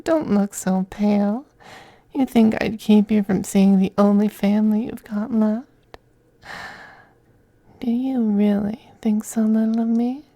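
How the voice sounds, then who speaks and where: A man playing a possessive, teasing femboy vampire in an intimate ASMR roleplay, speaking softly and breathily, often in a whisper, with coaxing, condescending tenderness. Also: high-pitched, vocal fry, no room sound. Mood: mock hurt.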